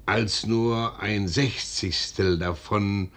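An actor playing a blunt, reserved colonel sent as envoy in a 1950s radio play, with formal, deliberate stage diction. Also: male voice, fairly low pitch, steady tone, a bit bright, exaggerated voice. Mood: measured, probing.

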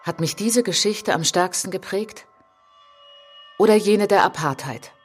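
A woman performing a solemn narrator in a literary radio drama, speaking in measured, dramatic short phrases. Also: mid-range voice, husky. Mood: reflective. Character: solemn woman narrator recounting colonial atrocities as a traveller. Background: prominent music behind the voice.

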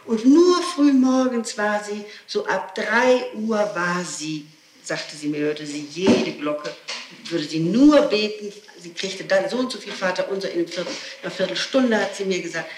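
A woman's voice: mid-range voice, normal speed, echoey room, slight background noise, poor audio. A woman answering questions under interrogation, explaining insistently and often repeating herself, on an old 1960s tape. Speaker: suspect being questioned. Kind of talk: spontaneous testimony under interrogation.

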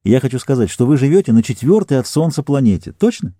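A man's voice: mid-range voice, very animated, fast, warm tone, no echo, studio quality. A man voicing a plump, self-assured Earth rocket captain who talks eagerly and insistently.

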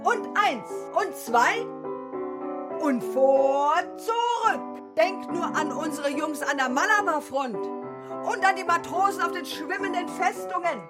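An actress playing a young, sinewy gymnastics instructor in a radio play, barking commands and rhythmic counts. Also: female voice, extremely high pitch, expressive, takes it slowly, heavily acted. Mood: exhorting, bossy. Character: young sinewy female telescreen gymnastics instructor, brisk and bossy. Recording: loud piano music behind.

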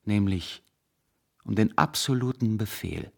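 Low-voiced, severe dogmatic professor. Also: male voice, lively intonation, measured pace, creaky, a little mellow, full character voice. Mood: fanatical, insistent. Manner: lecturing, cutting, absolutist rhetoric. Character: severe dogmatic intellectual zealot, male professor. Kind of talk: German radio drama acting.

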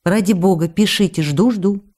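A woman's voice: mid-range voice, normal speed, dark tone, lightly acted. A woman in a radio play voicing a young actress who writes playful, coaxing, reproachful letters to a writer. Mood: pleading. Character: young actress writing teasing, pleading love letters. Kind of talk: radio drama letter reading.

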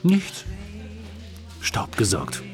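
A man's voice: extremely slow, music in the background. A man playing a reluctant, sullen husband with terse delivery in a radio drama.

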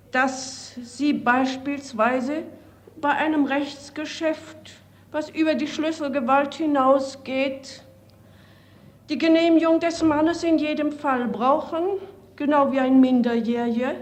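An earnest, matter-of-fact lawyer and mother delivering a 1940s radio address. She speaks slowly and deliberately, in a fairly high voice. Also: darkish tone. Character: earnest woman jurist, measured mother.

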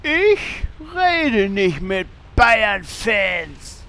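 A performer playing Horst, a naive, clumsy and excitable gamer with a drawling, whiny delivery, in a comedic audio drama parody. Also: medium pitch, very slow, heavily acted. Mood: snooty.

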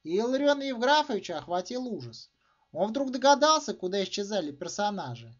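A man reading literary fiction as its author, in expressive, dramatic narration with emphatic phrasing. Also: high voice, a little fast. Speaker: author reading own short story. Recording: dull, muffled lo-fi sound.

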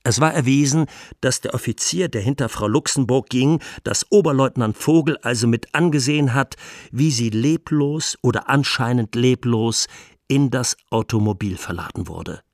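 A man playing a defence lawyer in a 1920s trial, delivering a rhetorical, deliberate and emphatic courtroom plea for a radio documentary. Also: medium pitch, natural voice. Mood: insistent, accusing. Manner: rhetorical, deliberate, emphatic, with dropped phrase endings. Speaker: defence counsel.

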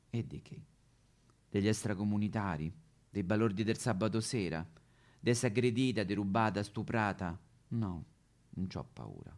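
A male actor puts on a Roman streetwalker, a bitter, lonely woman on a theatrical stage monologue. The delivery is coarse and confrontational, full of slang. The pitch swings widely from line to line. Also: a little mellow. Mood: sad, lonely. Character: brash, weary Roman female street prostitute, bitter and lonely.

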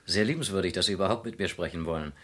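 An actor playing a polite, persistent insurance investigator in a German radio crime play, questioning courteously and deliberately. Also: male voice, low voice, sharp tone. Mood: courteous, probing.

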